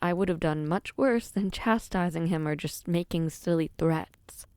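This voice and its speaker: Slow, gentle young prince, voiced by a woman. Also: fairly low pitch, clear, resonant tone, no echo, close to an everyday voice. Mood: earnest, reassuring. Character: gentle, protective young prince comforting someone dear. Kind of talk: dramatic audio roleplay acting.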